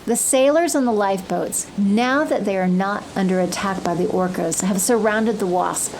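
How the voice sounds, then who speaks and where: A woman's voice: medium pitch, normal speed, darkish tone, a little hiss or hum, poor audio. A woman giving dramatic scripted narration as the narrator of an audio drama.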